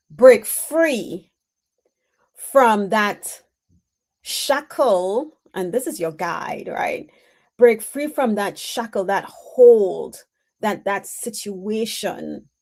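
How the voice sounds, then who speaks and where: A woman giving an intuitive spiritual reading on a live stream, answering her chat in a reflective, halting way with many pauses and "um"s. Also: medium pitch, a little mellow. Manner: slow, reflective, halting with frequent "um"s.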